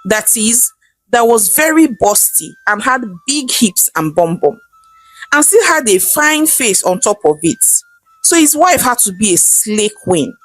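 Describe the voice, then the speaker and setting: A woman's voice: fairly high pitch, big pitch swings, unhurried, no room sound, poor audio. A woman with a Nigerian accent narrating a folktale as a storyteller. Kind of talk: animated scripted folktale narration.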